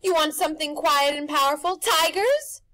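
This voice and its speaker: A woman's voice: sing-song, fairly quick, no room sound. A woman voicing a sly, pushy male trickster who keeps wheedling with offers. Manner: pushy, high-pitched and loud.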